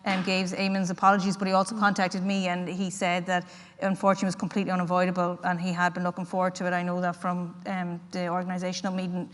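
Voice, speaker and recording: A woman's voice: on the low side, completely flat delivery, fast. A woman politician speaking at a public meeting, hesitant, with many fillers and restarts. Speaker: party politician. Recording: long hall reverb.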